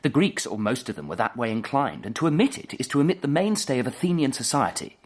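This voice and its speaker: An actor with an English accent playing an intense, petulant upper-class student in a period radio drama, clipped and impatient. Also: male voice, medium pitch, big pitch swings, fast talker, natural voice. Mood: indignant, superior.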